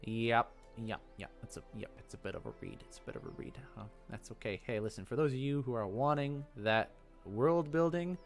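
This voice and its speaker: A man's voice: mid-range voice, animated, brisk, few pauses, soft background music. A man hosting a let's play, chatting casually and thinking aloud as he goes. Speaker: gaming video host.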